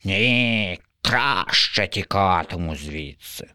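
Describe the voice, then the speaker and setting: A man's voice: sits low, animated, pushed and bright, no room sound, clean studio sound, full character voice. A man voicing a restless, dramatic female crow who draws out her words and pouts. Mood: dreamy. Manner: drawn-out, grimacing, huffy.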